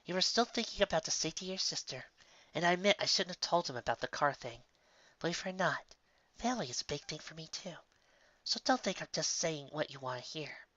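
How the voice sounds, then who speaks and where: Soft-spoken, nervous earth pony mare for a storybook, played by a narrator; slightly raised pitch, dull lo-fi sound. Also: animated, a little fast, dry recording. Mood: earnest, subdued.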